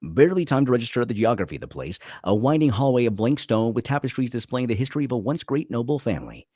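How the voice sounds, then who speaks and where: A man's voice: medium pitch, very animated, fast, dark tone, no room sound, studio quality. A man narrating a screenplay dramatically at a table read.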